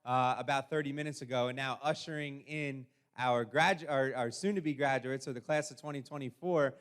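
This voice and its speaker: A man speaking as a school administrator, welcoming an audience in an informal, somewhat halting way. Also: medium pitch, steady tone, fairly bright tone, clean tone. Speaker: school administrator opening an event.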